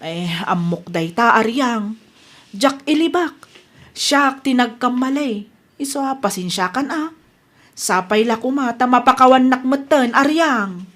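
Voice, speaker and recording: A woman's voice: fairly high pitch, expressive, measured pace, fairly bright tone. A woman with an Ilocano Filipino accent acting a flustered village wife in a radio comedy drama. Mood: sheepish. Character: flustered, blame-casting village wife, later apologetic.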